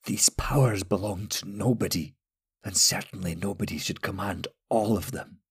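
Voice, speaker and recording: A man voicing a frail old male wizard who is dying, speaking slowly with pain in his voice. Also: sits low, steady tone. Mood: grave. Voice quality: frail, pained, gravelly. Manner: slow, solemn, pleading.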